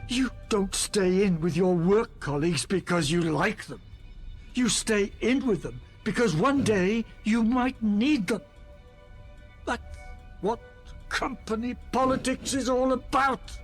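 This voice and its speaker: Radio play: sixty-year-old colleague, weary and self-justifying, middling pitch, over music. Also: female voice, slow pace, husky. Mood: lecturing. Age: 60s.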